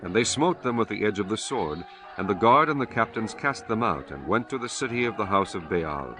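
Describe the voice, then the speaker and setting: A man giving a solemn, measured, formal narration of scripture as an audiobook narrator. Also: fairly low pitch.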